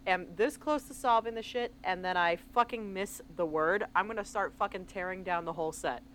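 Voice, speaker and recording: A woman's voice: mid-range voice, brisk, a bit bright, slight background noise. A woman co-hosting a podcast in casual chat, swearing a lot and laughing often. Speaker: podcast co-host.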